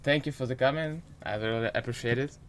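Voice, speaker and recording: A man in his twenties with a Ukrainian accent hosting a casual interview in hesitant, halting English. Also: medium pitch, background noise. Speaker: podcast host, interviewer.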